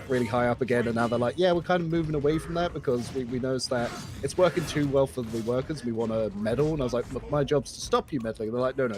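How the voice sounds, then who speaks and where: A middle-aged man chatting casually while gaming, telling animated work stories and acting out what others said. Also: medium pitch. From England. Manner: animated storytelling, acting out quotes with laughs. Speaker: stream guest. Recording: background noise under voice.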